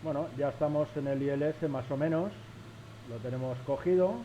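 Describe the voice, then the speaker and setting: A man's voice: a little high, moderate pace, dark tone, highs cut off, a little hiss or hum. A man from Spain with a Spain Spanish accent gives casual, informal running commentary as a pilot flying, muttering to viewers as he works. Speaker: flight simulator pilot narrating.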